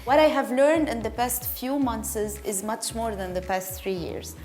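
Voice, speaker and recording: A woman's voice: on the high side, animated, moderate pace, a little mellow. A woman from Lebanon giving a prepared testimonial as a startup founder. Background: music.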